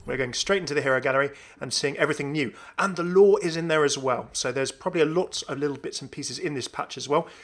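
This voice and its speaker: A man hosting a gaming video with casual, enthusiastic commentary in a creaky voice. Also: on the high side, quick pace, hardly any pauses, fairly bright tone. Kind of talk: casual commentary on a game update.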